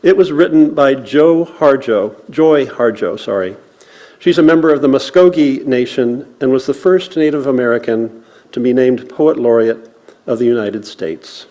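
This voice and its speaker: A man speaking at a worship service, reciting in a solemn, measured way with deliberate pauses. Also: mid-range voice, dark tone. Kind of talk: land acknowledgement and poetry recitation to a congregation.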